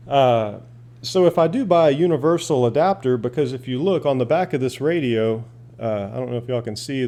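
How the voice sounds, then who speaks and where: Casting: male hobbyist, warm soft-edged voice, unscripted, folksy, plain-spoken, happy to ramble while demonstrating equipment. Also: medium pitch. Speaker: shortwave radio hobbyist asking viewers for advice. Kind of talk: casual unscripted demonstration and chat.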